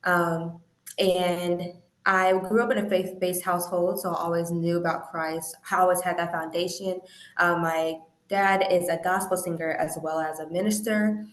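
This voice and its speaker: Low-voiced young woman in an echoey room. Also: level tone, moderate pace. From Indiana, American Midwest. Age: young adult. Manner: earnest, reflective. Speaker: interview guest. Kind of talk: personal testimony in conversation. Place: remote online call. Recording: roomy, echoey call audio.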